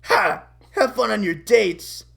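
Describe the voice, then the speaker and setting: A woman's voice: a little high, unhurried, vocal fry, voice pushed up. A woman voicing a hot-tempered cartoon boy who blusters and stammers urgently. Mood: frustrated.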